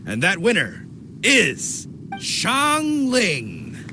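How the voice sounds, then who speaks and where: A man's voice: high voice, wildly swooping pitch, extremely slow, over music, exaggerated voice. A man voicing a grandiose, formal food judge in a video game, with theatrical delivery. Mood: solemn, grandiose. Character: grandiose male food judge, solemn and easily astonished.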